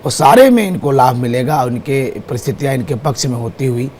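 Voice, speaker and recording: A man's voice: mid-range voice, expressive, brisk, darkish tone, a little hiss or hum. A man appearing as an astrologer guest on a TV show, speaking through a sore throat as he steadily lists times and dates. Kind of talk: explaining astrology on TV. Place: TV studio.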